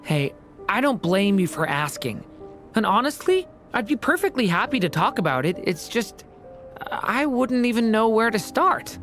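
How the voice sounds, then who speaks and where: Actor doing sweet earnest dragon kid hero, video game, animated delivery, music under it. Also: medium pitch, moderate pace, lightly acted. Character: earnest, gentle young dragon boy hero, grateful and sincere. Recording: music behind the voice.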